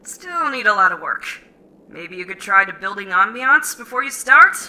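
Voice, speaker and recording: A woman playing a wry, nagging superhero sidekick in an audio drama, deadpan and teasing. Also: medium pitch, moderate pace.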